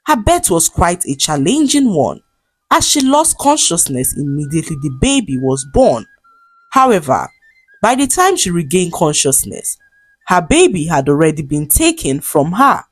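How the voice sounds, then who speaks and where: A woman with a Nigerian accent narrating a scripted folktale in a dramatic, expressive way. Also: on the low side, slightly rough. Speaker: storyteller.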